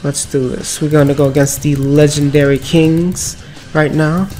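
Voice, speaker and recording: A man with a slightly raspy voice from a cold, giving upbeat, rambling commentary over mobile card game play. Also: fairly high pitch, flat delivery, normal speed, a little mellow. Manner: upbeat, filler-heavy running commentary. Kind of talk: casual gameplay commentary. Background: background music.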